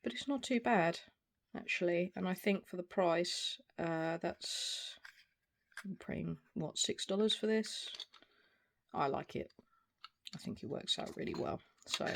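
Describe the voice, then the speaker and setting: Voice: medium pitch, a bit bright. A person in their forties giving a casual, unscripted product review in a hesitant, rambling way. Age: 40s. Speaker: video reviewer. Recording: clear background noise, small clicks.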